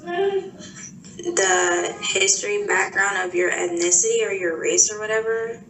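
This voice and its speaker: Young woman from virginia, slow and unsure, bright voice, echoey and far from mic. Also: mid-range voice, animated, a little hiss or hum. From Hampton, Virginia. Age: young adult. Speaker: student interviewee.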